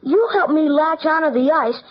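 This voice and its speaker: A woman's voice: high voice, normal speed, close to an everyday voice. A woman playing a cocky, slangy, streetwise ten-year-old boy detective in 1940s radio drama style. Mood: shrewd, eager. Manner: brash, slangy, suspicious. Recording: muffled, noisy old radio recording.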